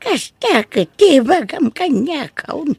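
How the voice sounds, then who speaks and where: A woman acting a scolding, amused old spinster in an Ilocano comedy audio drama, creaky and exclaiming, with an Ilocano accent. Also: high voice, sing-song, slow pace, darkish tone, no room sound, full character voice. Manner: exclaiming, teasing, breaking into cackles.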